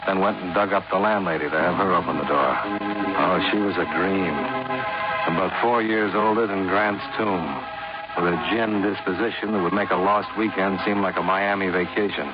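An actor playing a cool, sardonic hard-boiled investigator who wisecracks and narrates in a clipped deadpan, 1950s radio drama style. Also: male voice, deep voice, normal speed, close to an everyday voice. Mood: dry narration. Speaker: narrator and lead detective. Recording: muffled 1950s radio recording with music.

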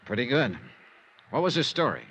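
A man's voice: mid-range voice, very animated, normal speed, fairly bright tone, a little hiss or hum. An American man playing a cool, probing insurance investigator in a 1950s radio drama, with a dry, clipped, matter-of-fact delivery. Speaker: lead actor and narrator. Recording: dull 1950s radio recording.

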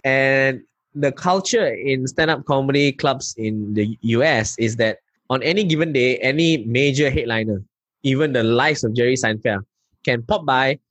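A man from Malaysia with a Malaysian accent, a stand-up comedian telling lively anecdotes in an interview. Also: medium pitch, slightly rough, no room sound. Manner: animated anecdotes, local particle "lah". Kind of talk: casual interview storytelling.

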